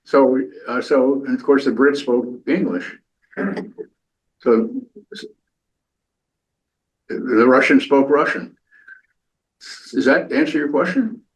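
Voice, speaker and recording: A man's voice: medium pitch, slow, pauses often, rough recording. An older man, a retired navy captain, answering audience questions at a lecture and often repeating words as he starts a sentence.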